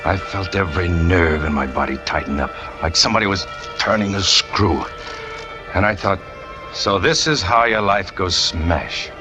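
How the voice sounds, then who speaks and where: An American actor playing a brooding, lovesick trapeze artist turned killer, terse and hard-boiled, in 1940s radio noir style. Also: male voice, deep voice, moderate pace, natural voice. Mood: anguished brooding. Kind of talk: 1940s radio noir acting, confessional narration. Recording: old 1940s radio recording with music.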